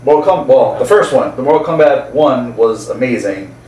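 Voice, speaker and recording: A man's voice: medium pitch, animated, moderate pace, a little mellow. Enthusiastic man chatting in a roomy space. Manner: enthusiastic, chuckling as they talk. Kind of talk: casual chat about movies. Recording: roomy, distant mic, faint background noise.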